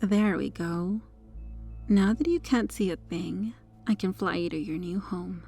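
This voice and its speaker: Woman doing a yandere superhero lady, soft asmr roleplay, creaky mellow voice, coy n smug, music behind. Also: fairly low pitch, unhurried. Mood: sweetly controlling. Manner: coy, smug, coaxing, lingering over words. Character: sweetly menacing, possessive yandere superheroine kidnapper.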